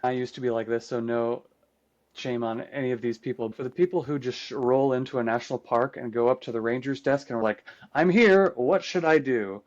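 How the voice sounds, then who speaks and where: A man's voice: mid-range voice, brisk, a little mellow. A man with a mid-range voice talks as a guest in an interview, explaining at length in a conversational way. His first language is Croatian. The recording is slightly muffled and lo-fi. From United States, Croatian immigrant family. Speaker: podcast guest, trip guide and composer.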